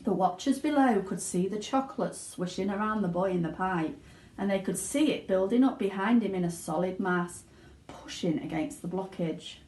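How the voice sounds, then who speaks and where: A woman reading a children's story aloud with dramatic, expressive narration. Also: mid-range voice, normal speed. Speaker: storybook reader, school teaching assistant. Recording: roomy indoor echo.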